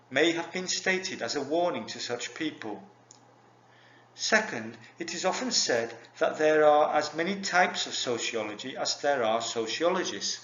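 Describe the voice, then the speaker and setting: Male lecturer, slightly raised pitch, formal scripted delivery, roomy muffled sound. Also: a bit bright. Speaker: university lecturer. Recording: echoey dull lo-fi recording.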